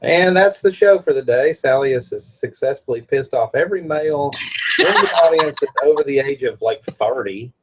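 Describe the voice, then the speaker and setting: A man's voice: mid-range voice, expressive, normal speed, noisy background. A man in a casual online hangout, delivering dry wisecracks over a muffled, lo-fi video call line. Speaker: regular in the hangout. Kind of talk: casual banter.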